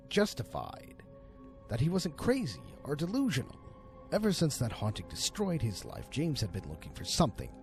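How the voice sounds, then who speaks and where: A man narrating a horror story in a theatrical, deliberate manner, as an amateur storyteller and voice actor. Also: mid-range voice, darkish tone. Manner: theatrical, deliberate, swooping delivery.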